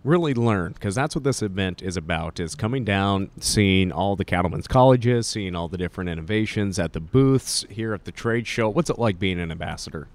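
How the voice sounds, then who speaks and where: A man's voice: low voice, expressive. A man with a Montana accent hosting a casual interview at a cattle convention, easygoing and affirming. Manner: easygoing, quick agreeing interjections. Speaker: host.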